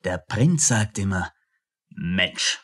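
A man playing a swaggering, lustful drum major in a German radio play, boastful and leering, with a husky voice. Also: sits low, animated, very slow, a bit bright, heavily acted.

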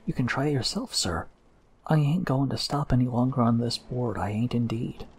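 A man's voice: mid-range voice, moderate pace, a little mellow, a little hiss or hum, pitched above the performer's own voice, natural voice. A man voicing a nervous, servile male ship's steward who talks in a common dialect, drops his h's and calls everyone sir. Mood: pleading.

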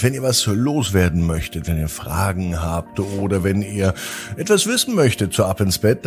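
A man hosting a children's bedtime podcast, narrating in a gentle, drawn-out storytelling manner. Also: fairly low pitch.

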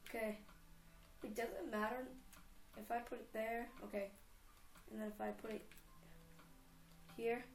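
A woman's voice: on the high side, little pitch movement, a little slow, long pauses, echoey room. A young woman casually commenting on her own game, rambling and giggling as she goes. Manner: chatty, rambling, giggly self-talk. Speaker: gamer. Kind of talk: casual let's play commentary.

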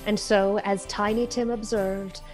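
Woman as storybook narrator for radio drama. Medium pitch, expressive, exclamatory, savouring the tale. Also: measured pace, faint music behind, close to an everyday voice. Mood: warm, heartfelt. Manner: emphatic, expressive.

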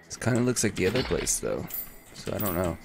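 Deep gravelly man muttering through a game. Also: very animated, fairly quick, music in the background. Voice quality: unusually deep bass voice today. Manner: muttering to himself while playing, reading item text aloud. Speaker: gaming streamer. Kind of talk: casual let's-play commentary. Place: home streaming room, indoors.

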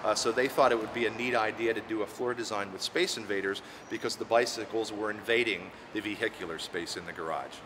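Halting middle-aged man in a noisy garage. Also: on the low side. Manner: hesitant, many "uh" fillers. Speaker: parking garage manager. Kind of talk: on-camera interview. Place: indoor parking garage.

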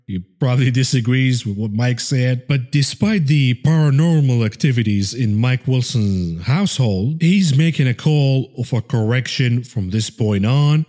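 Mellow-voiced man doing sardonic market commentary. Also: medium pitch. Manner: sardonic, tongue-in-cheek. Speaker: host of stock market analysis show. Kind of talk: informal market news commentary.

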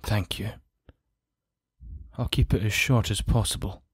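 A man's voice: lowish voice, steady tone, measured pace, slightly rough, no echo. A man playing a grave, injured nobleman in an audio drama, speaking formally and solemnly. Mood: solemn, regretful.

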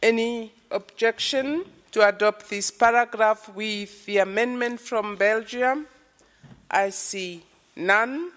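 A woman's voice: on the high side, fairly bright tone. A woman chairing a formal committee session, calling items for adoption and asking for objections. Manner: slow, procedural, calling for objections. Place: large conference hall. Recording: long reverb of a large hall.

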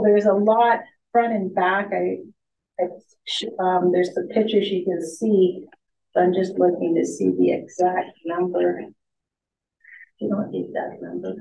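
A woman's voice: medium pitch, creaky, dark tone. A woman presenting a project update to a board in a plain, matter-of-fact way, with many ums and restarts, recorded in a large meeting room through a table microphone. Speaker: staff member presenting to a board. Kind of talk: meeting report. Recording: echoey, distant, dull, faint hiss.

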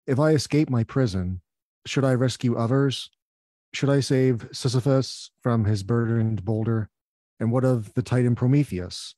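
A man at an open mic reading his poems aloud in a measured, slow manner over a video call. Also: mid-range voice, mellow, no room sound.

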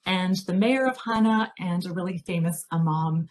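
Low-voiced woman presenting slides. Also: a little mellow. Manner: measured, explanatory, with frequent fillers. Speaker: project researcher presenting a talk. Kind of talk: presentation over slides on a video call. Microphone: video call.

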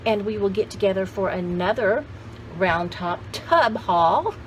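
Casting: woman, 60s, enthusiastic rambling vlogger, medium pitch, chatty delivery to camera, recorded at home with noticeable background noise. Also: animated, measured pace. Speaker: vlog host showing thrift haul finds. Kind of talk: casual chat to camera. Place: cluttered home craft room, indoors. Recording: clearly noisy home video background.

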